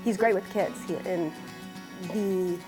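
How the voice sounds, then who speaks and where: A woman's voice: medium pitch, steady tone, slow, loud music behind the voice, low-quality recording. A woman giving a sincere, conversational testimonial in an interview.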